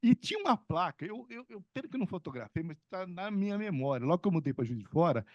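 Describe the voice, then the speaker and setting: A man's voice: high voice, sing-song, quick pace, no room sound, lo-fi sound. A middle-aged man from São Paulo state, an economics professor speaking at a panel discussion in a rambling, conversational way with many fillers and restarts. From São Paulo state, Brazil.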